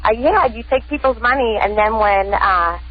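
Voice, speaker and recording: A caller from Buffalo, New York making an unscripted complaint on a radio show, husky, accusatory and halting. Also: medium pitch, lively intonation, no room sound. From Buffalo, New York, USA. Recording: dull, lo-fi call to radio.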